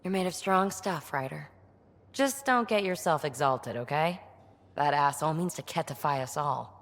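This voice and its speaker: Game explorer: bold yet caring woman, slightly deep, expressive, heard with a reverberant tail. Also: normal speed. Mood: concerned. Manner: informal, earnest, expressive. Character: daring, caring woman scientist-explorer, excitable about ancient technology. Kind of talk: video game voice acting.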